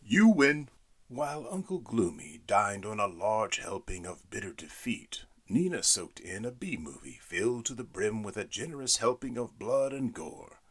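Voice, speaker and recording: A man reading a spooky storybook narration in a playful, expressive way. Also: on the low side, normal speed, few pauses, slightly rough.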